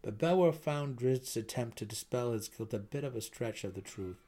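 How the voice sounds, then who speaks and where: A man's voice: medium pitch, animated, normal speed, warm tone. A man reading fantasy fiction aloud as a narrator, in a dramatic storytelling style. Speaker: audiobook narrator.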